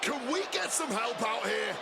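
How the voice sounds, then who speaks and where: An actor playing an excitable, indignant wrestling commentator in a video game, dramatic and exclamatory. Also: male voice, very high-pitched, moderate pace, vocal fry, full character voice. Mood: alarmed, pleading. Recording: very loud arena background noise.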